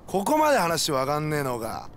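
Gruff, short-tempered young yakuza man. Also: fairly high pitch, huge pitch swings, normal speed, very noisy. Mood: irritated. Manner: gruff, rough street slang, scolding. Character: short-tempered young male yakuza, bossy toward juniors. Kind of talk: video game cutscene acting.

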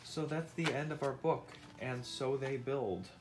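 A man's voice: medium pitch, steady tone, a little slow, noisy background. A middle-aged man doing an interactive read-aloud at home, explaining and prompting with questions as a parent teaching. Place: indoors at home on a couch.

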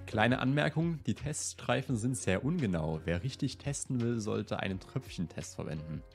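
A man in his twenties with a slight cold, hosting a relaxed question-and-answer vlog in a chatty, easygoing way. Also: medium pitch, normal speed, gravelly, faint music behind. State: slightly ill. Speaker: host. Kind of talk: casual Q&A vlog. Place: indoor room with plant wall and lit shelves.

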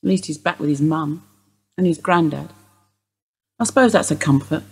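Woman acting a husky, composed bereaved mother. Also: low voice, moderate pace, exaggerated voice. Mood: quietly composed. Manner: calm, understated, dry. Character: weary, composed bereaved English mother under police questioning. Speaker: actor in a radio play. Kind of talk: naturalistic radio drama acting.

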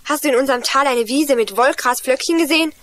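A woman's voice: high voice, quick pace, fairly bright tone, a little hiss or hum. A woman playing an earnest young girl in a children's radio play, telling things in an eager, explanatory way. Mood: urgent. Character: Juliane, earnest young girl lost in forest.

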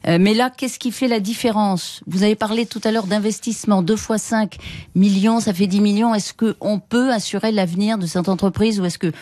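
A woman's voice: mid-range voice, steady tone, brisk, dark tone, no echo. A woman interviewing on live radio, pointed and insistent, often cutting in. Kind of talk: live political interview questioning. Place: radio studio, indoors. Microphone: studio microphone.

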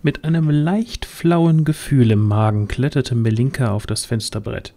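A man's voice: medium pitch, measured pace, mellow. A man reading scripted narration as the storyteller of a fantasy audio drama. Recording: music under the voice.

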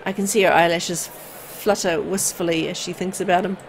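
A woman reading visual novel text aloud as expressive, storytelling narration. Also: fairly low pitch, normal speed, creaky, slight background noise, poor audio. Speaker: video game player and narrator.

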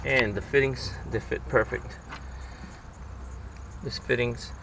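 A man's voice: medium pitch, steady tone, takes it slowly, background noise. A man giving a casual step-by-step how-to on his boat outdoors, talking plainly with fillers. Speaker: DIY boat video host.

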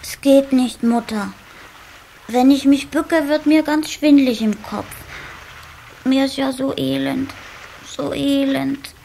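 High-voiced, slow-talking little water-sprite boy. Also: steady tone, creaky, dark tone, heavily acted, poor audio. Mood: plaintive. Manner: childlike, earnest. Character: curious, dramatic young water-sprite boy. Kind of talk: children's radio play acting. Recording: cassette recording with faint hiss.

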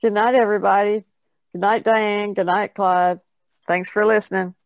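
A woman's voice: mid-range voice, steady tone, normal speed, no echo, low-quality recording. A woman chatting casually as a podcast co-host. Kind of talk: casual chat.

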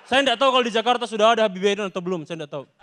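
A man's voice: high voice, very fast, a bit bright, slight background noise. A young man with a Makassar Indonesian accent performing stand-up comedy, telling stories in an emphatic, theatrical way into a handheld mic. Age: young adult. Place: large TV studio stage with audience. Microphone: handheld microphone.

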